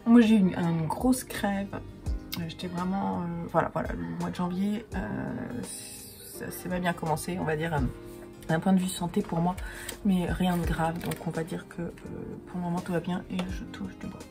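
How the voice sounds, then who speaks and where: French woman vlogging from home, slightly blocked nose, lots of ums and restarts, gravelly voice. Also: lowish voice, steady tone, normal speed, music in the background. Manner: chatty, many fillers and restarts. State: stuffy from a cold. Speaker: podcaster and yarn dyer. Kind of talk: casual chat to camera.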